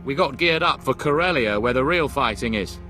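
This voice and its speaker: A restless captain in a sci-fi game. His bright, forceful, medium-pitched voice is blunt and urgent. Faint music plays behind. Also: lively intonation, a little fast. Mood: impatient. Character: restless, frustrated male army captain. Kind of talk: video game voice acting.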